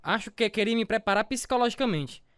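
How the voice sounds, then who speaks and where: Quick, high-voiced young boxer. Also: male voice, expressive, fairly bright tone, clear, resonant tone, no echo, clean studio sound. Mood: sly, confident. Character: young scrawny boxer, sly, feigning meekness, cocky. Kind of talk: radio drama acting.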